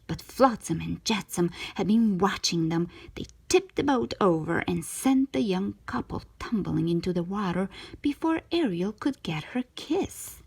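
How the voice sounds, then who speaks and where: A woman narrating a children's storybook in an expressive read-aloud style, with a breathy voice. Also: mid-range voice, a little slow.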